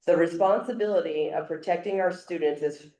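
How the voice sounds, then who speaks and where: A woman, a teachers' union president, reading a steady, formal public comment to a school board. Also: fairly low pitch, a little fast. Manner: steady, formal, self-described loud voice. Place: school board meeting room. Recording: echoey meeting room.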